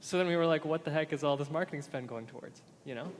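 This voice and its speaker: A man's voice: on the high side, fast, slight background noise. A man on a panel casually telling a story about a portfolio company. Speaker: investor panelist. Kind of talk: panel discussion, anecdote. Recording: reverberant hall with faint ambience.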